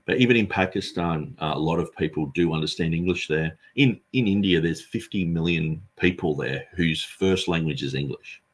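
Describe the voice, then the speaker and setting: A man speaks in a deep, slightly husky voice. He answers at length in an earnest, rambling way and often restarts his sentences. He is a street preacher explaining his Bible translation work. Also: moderate pace, few pauses. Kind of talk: conversational interview answer.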